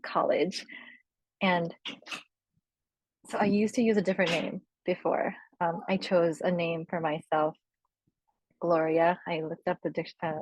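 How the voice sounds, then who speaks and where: A young woman from Korea, a panelist sharing personal reflections with many fillers and soft laughs. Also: deep voice, dry recording, low-quality recording.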